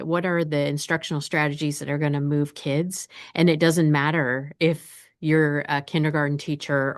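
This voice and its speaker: A woman school administrator answering a board question in an earnest, steady way. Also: deep voice, clean tone. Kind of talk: meeting report.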